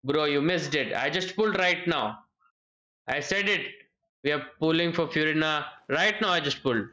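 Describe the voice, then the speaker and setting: A man's voice: a little high, normal speed, pushed and bright, dull audio. A man giving casual live game commentary, thinking aloud and often repeating his phrases. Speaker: game streamer. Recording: game music behind the voice. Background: video game music.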